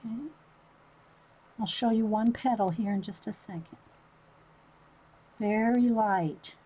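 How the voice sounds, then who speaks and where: A woman with a loud voice, chatting casually to livestream viewers while she shows a coloring technique. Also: mid-range voice, takes it slowly, low-quality recording. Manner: rambling, talks to chat viewers by name.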